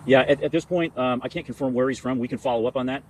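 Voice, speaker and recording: Fast-talking American army general at press briefing. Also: male voice, medium pitch, noisy background. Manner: halting, formal, repeats words as he answers. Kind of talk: press conference answers.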